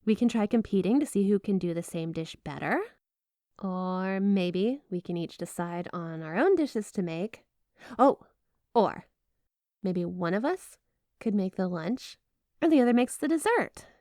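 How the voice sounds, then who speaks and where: A woman's voice: medium pitch, lively intonation, unhurried, a little mellow, no room sound, clean studio sound, natural voice. A woman playing a flirty, obsessive yandere snow spirit wife in an ASMR roleplay, gushing, coy and sweetly doting. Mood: excited, sweet. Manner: gushing, coy, playfully suggestive. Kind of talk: ASMR roleplay addressed to the listener.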